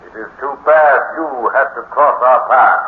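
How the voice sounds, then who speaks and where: An actor playing a haughty, menacing Martian commander in a 1950s radio drama, speaking in pompous, deliberate, formal threats. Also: male voice, medium pitch, lightly acted. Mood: menacing contempt. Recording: echoey, noisy, tinny 1950s radio recording.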